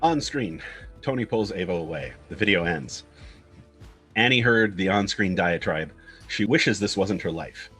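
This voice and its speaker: A man's voice: low-pitched, animated, a little slow, pushed and bright, slightly rough. A man reading screenplay stage directions as narrator, in short clipped scripted phrases. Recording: guitar music bed under the voice.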